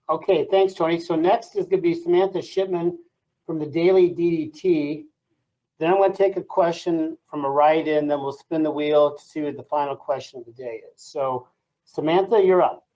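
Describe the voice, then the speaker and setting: A man moderating a press call, briskly and matter-of-factly announcing who asks next. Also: a little high, steady tone, warm tone, rough recording.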